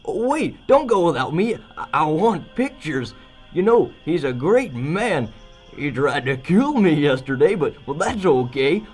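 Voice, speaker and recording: A man's voice: a little high, big pitch swings, measured pace, breathy edge, faint music behind, heavily acted. A man voicing a manic, fawning cartoon dog, rambling and erratic, in an old-time radio drama parody. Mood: awed, jittery.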